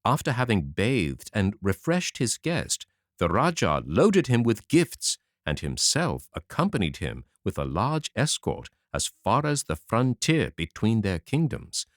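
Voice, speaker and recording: A man narrating a fairy tale audiobook in a dramatic, expressive reading. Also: fairly low pitch, a little slow, no echo. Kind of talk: scripted storybook narration. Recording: dry close audiobook mic.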